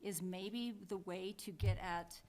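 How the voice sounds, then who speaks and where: A woman's voice: lowish voice, takes it slowly, a little mellow. A woman chairing a town council meeting, speaking plainly and thinking aloud with frequent restarts.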